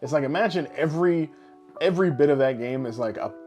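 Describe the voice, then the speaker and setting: A man co-hosting a casual podcast, rambling through his ideas in an animated way and swearing freely. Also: a little high, fast, soft background music. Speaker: podcast co-host. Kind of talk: casual podcast chat about games and films.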